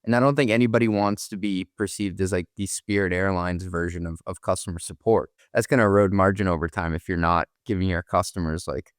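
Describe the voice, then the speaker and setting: A man's voice: low-pitched, steady tone, a little fast, hardly any pauses, smooth, dry recording, studio quality. A man co-hosting a business podcast, talking casually in informal, colloquial language. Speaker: podcast co-host.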